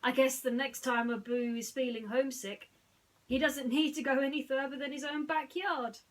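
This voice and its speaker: A woman's voice: sits high, steady tone, moderate pace, pitched above the performer's own voice, natural voice. A woman voicing a kind, easygoing young storybook hero, in an encouraging, emphatic way. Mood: cheerful.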